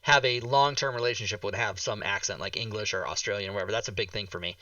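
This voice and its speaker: Bright-voiced American man, casual streamer. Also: lowish voice, quick pace, no echo, far from the mic, lo-fi sound. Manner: opinionated, joking, profane. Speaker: livestream host. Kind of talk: casual livestream chat.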